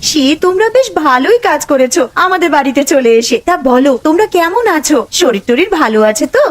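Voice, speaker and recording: A woman voicing a bossy village wife and mother in a cartoon, animated and insistent. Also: high voice, a little fast. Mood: affectionate.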